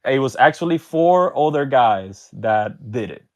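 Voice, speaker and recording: A man's voice: medium pitch, expressive, unhurried, highs cut off. A man from Venezuela and Miami, a podcast guest telling stories in casual slang and acting out bits of dialogue. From Venezuela, later Miami. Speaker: podcast guest, tournament organizer. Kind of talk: casual storytelling chat.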